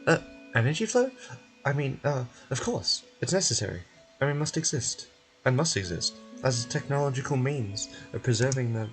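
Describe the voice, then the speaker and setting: A man's voice: mid-range voice, lively intonation, moderate pace, faint music behind, natural voice. A man voicing an evasive, falsely cheerful institute official in a video game who stammers and blusters pompously. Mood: flustered.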